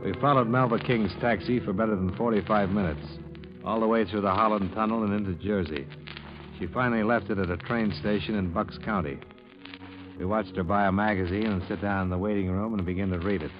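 Actor as low-voiced, hard-boiled radio detective. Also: male voice, steady tone, a little fast, lightly acted. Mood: dry narration. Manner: terse, dry, matter-of-fact. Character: weary, hard-boiled male insurance investigator narrating his case. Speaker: lead actor and narrator of a detective serial. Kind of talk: 1950s radio detective acting, clipped narration. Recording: muffled old radio recording, music behind.

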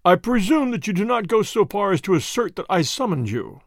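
A man's voice: high-pitched, big pitch swings, fairly quick, dry recording, studio quality, voice pushed up. A man voicing a haughty, cunning old professor in an audiobook story, formal and imperious, with a fierce temper. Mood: angry asperity. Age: older. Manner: formal, imperious, sharp questioning. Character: haughty old male professor, cunning, turning to screaming rage.

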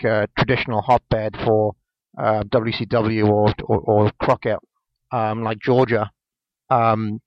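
A Welsh man in his late twenties co-hosting a casual podcast chat, hesitant and full of ums and restarts. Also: fairly low pitch. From Wales. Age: 20s. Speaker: podcast co-host. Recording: dull lo-fi dry sound.

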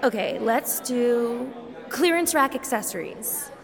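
A woman playing a breezy, stubborn young female adventurer in an audio drama, casual and a bit bossy, with a creaky voice. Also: a little high, lively intonation, slow pace, big-room sound, crowd noise, heavily acted. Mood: playful, dismissive.

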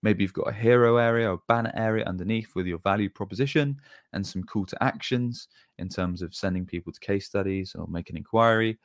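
Male tutorial presenter, lower register, informal explainer, very dry recording. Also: fairly quick, dry recording. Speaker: web design agency presenter.

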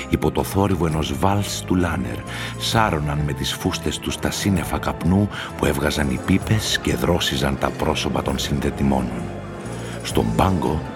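A man narrating a literary short story for radio in a measured, deliberate manner. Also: very deep voice. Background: music.

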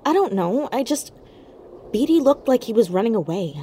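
An actress playing a determined, curious young woman sleuth in an audio drama, with a hushed, urgent, natural delivery. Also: on the high side, sing-song, moderate pace, a little hiss or hum. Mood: focused, anxious.

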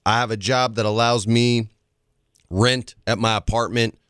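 A man's voice: medium pitch, fairly even intonation, measured pace, fairly bright tone, smooth, dry recording, clean studio sound. A man co-hosting a talk show who asks playful questions and builds them around hypotheticals. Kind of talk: casual talk show chat.

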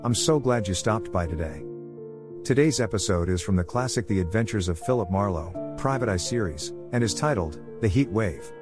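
A man's voice: on the low side, lively intonation, normal speed, music in the background. A man with an American accent reading a scripted podcast introduction as the host of a classic radio series. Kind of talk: scripted announcement, episode introduction.

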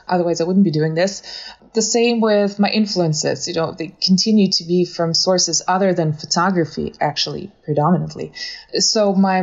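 A middle-aged woman who grew up in Russia, speaking as a photographer guest in an interview, thoughtful with light laughs and fillers. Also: fairly low pitch. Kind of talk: conversational interview. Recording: dull lo-fi audio.

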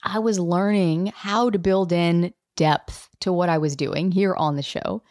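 A woman in her thirties hosting a podcast, telling a personal story in an animated way and acting out the people she quotes. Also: mid-range voice, dry recording, studio quality. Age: 30s. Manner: animated, acts out quoted dialogue. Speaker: podcast host.

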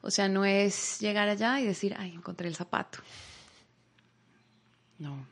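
A woman's voice: mid-range voice, measured pace. Thoughtful Colombian woman from Bogotá. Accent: Bogotá Colombian Spanish. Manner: thoughtful, searching for words, frequent fillers. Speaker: guest. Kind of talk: reflective conversational interview.

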